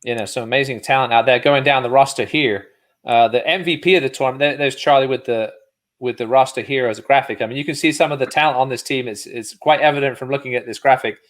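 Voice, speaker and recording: A man's voice: mid-range voice, fairly even intonation, quick pace, a bit bright. A man hosting a sports show, talking casually as he interviews guests.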